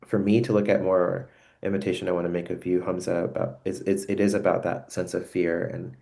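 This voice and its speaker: A man hosting a reflective conversation over a video call, speaking in an earnest, searching and halting way. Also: low voice, little pitch movement, creaky, mellow. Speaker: host.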